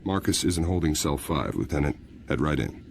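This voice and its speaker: An actor playing a deferential, chatty military prison guard in a video game, with a folksy, informal manner. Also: male voice, very deep voice, lively intonation, a little fast, vocal fry, a little hiss or hum, heavily acted. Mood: earnest, obliging.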